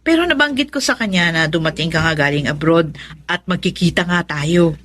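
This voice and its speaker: Good-natured, trusting young wife. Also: female voice, lowish voice, lively intonation, fairly quick, fairly bright tone. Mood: friendly. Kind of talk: Tagalog radio drama acting.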